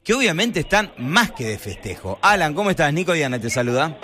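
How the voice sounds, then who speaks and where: A man's voice: on the high side, very animated, fairly quick, bright, forceful tone, slight background noise. A man hosting a live radio sports show, reacting in brief interjections and passing the air to his reporters.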